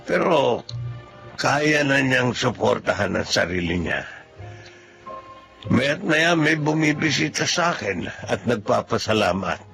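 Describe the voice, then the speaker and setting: Kind old filipino lolo in a radio drama, gravelly n gentle, music behind. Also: male voice, fairly low pitch, heavily acted. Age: older. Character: kindly, humble old benefactor, a grandfatherly father. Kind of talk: Filipino radio drama acting with narrated monologue.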